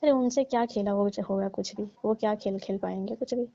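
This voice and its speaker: A woman's voice: mid-range voice, fairly even intonation, brisk, gravelly, mellow, no echo, natural voice. A woman playing a flirtatious middle-aged auntie, coaxing and teasing in a casual phone conversation. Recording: muffled lo-fi phone call recording.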